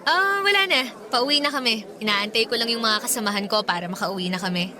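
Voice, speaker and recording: An actress playing a friendly young band singer chatting casually in a Filipino radio drama. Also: female voice, a little high, fast, a crowd in the background, lightly acted.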